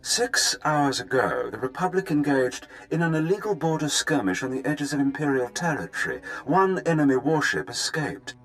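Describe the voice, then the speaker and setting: An actor playing a smug, ruthless imperial fleet commander in a video game, with a pompous, measured manner. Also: male voice, fairly high pitch, big pitch swings, fairly bright tone, soft background music, rough recording. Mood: smug menace.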